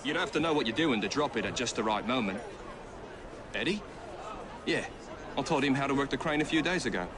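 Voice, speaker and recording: An actor playing a plain, matter-of-fact labourer, giving witness dialogue in a game. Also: male voice, medium pitch, expressive, a little fast, long pauses, natural voice. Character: plain-spoken male labourer, resentful but cooperative witness. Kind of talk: acted video game cutscene dialogue. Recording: very noisy outdoor crowd ambience.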